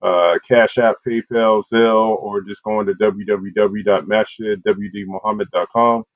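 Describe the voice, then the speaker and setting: A man's voice: lowish voice, fairly even intonation, moderate pace, no room sound. A man hosting an online community program and reading out announcements over a video call. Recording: dull, lo-fi video call audio.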